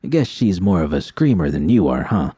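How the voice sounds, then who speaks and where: A man narrating an audiobook puts on a menacing male gang boss. The voice is deep and shadowy, with a close, dry sound. He leers and mocks, drawing out his sneering taunts. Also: big pitch swings, warm tone, husky, no room sound, exaggerated voice. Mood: mocking. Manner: taunting, sneering, drawn-out talk. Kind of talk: audiobook character dialogue.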